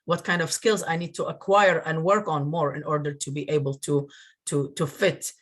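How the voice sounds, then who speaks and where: A man from northern Iraq giving a webinar over a video call, earnest and grateful, often pausing with fillers. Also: on the high side, steady tone, a bit bright. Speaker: webinar presenter, career coach. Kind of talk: presentation.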